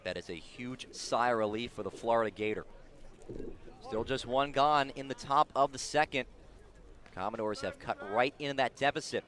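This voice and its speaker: Bright-voiced male baseball play-by-play announcer. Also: mid-range voice. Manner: descriptive, rising excitedly on big plays. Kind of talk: live radio sports commentary.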